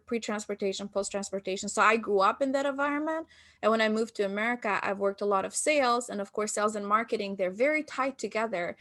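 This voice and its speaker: A woman's voice: medium pitch, fairly bright tone. A woman from Albania, in her thirties, telling stories in a casual podcast interview and acting out quotes from herself and others. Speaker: guest, marketing business founder.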